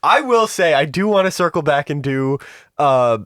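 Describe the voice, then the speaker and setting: A man's voice: a little high, animated, moderate pace, a bit bright, dry recording, studio quality. A man co-hosting a podcast, talking film casually with friends and swearing now and then. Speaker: podcast host.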